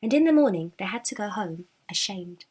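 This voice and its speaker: A woman narrating a children's storybook in an expressive, dramatic, deliberate storytelling manner. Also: medium pitch, breathy edge.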